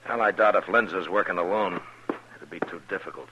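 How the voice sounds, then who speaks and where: Actor as deep-voiced, terse frontier marshal. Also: male voice, a little fast, lightly acted. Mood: watchful. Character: stern, watchful frontier marshal of the Old West. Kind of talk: 1950s radio western acting. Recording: muffled, noisy old radio recording.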